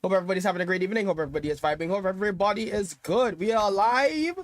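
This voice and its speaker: A sniffly young man from Trinidad and Tobago runs his own gaming livestream, chatting casually into a desk mic. His voice sits high for a man, and he rambles and hums to himself while getting set up. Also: racing, no room sound. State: sniffly from dust.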